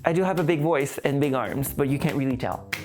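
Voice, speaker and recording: A man's voice: mid-range voice, a little fast. A man from Quebec, giving thoughtful, candid interview answers with some hesitation. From Quebec, Canada. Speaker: interview guest, circus artist and opera singer. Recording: music playing behind the voice. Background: background music.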